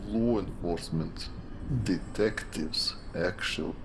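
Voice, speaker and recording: A man playing a coolly sinister detective in a noir audio drama, speaking in a deliberate, insinuating way. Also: low-pitched, creaky, noisy background, exaggerated voice. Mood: ominous. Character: menacing male detective, slow, coolly sinister.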